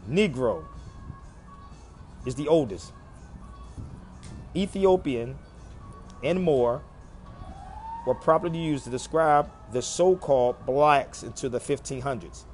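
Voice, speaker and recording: A man's voice: mid-range voice, expressive, slow pace, long pauses, a little mellow, faint music behind. A man hosting a livestream, talking to his viewers in an emphatic, preachy way and repeating phrases for effect. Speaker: live stream host.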